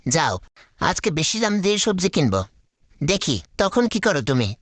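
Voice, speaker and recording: Hot-headed, miserly husband for a comedy cartoon; argumentative, wildly animated intonation. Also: male voice, sits high, moderate pace, no echo. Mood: indignant. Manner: indignant, argumentative, exclaiming. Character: hot-headed, stingy village husband who haggles and snaps at everyone. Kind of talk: comic cartoon acting in Bengali.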